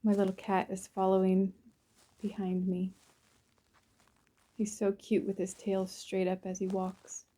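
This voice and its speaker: A woman narrates a guided visualization in a soothing, unhurried way, in short phrases with pauses between them. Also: mid-range voice, completely flat delivery, warm tone. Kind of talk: guided visualization, first-person present-tense narration.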